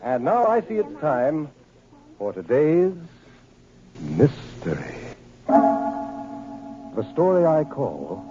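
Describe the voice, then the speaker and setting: An American actor playing a mysterious storyteller host in 1940s radio style, theatrical and suspenseful, on a vintage recording. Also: male voice, fairly high pitch, huge pitch swings, extremely slow, pauses often, heavily acted. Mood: ominous, inviting. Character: mysterious male storyteller host of spooky tales. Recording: dull vintage radio recording, loud music.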